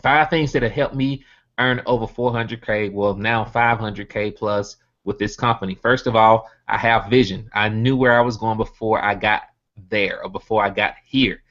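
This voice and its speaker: A man's voice: lowish voice, steady tone, moderate pace, fairly bright tone, muffled recording. A man from Birmingham, Alabama, with a Southern accent, giving an online motivational business presentation, stacking rhetorical questions and repeating his key points. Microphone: computer mic on a video hangout.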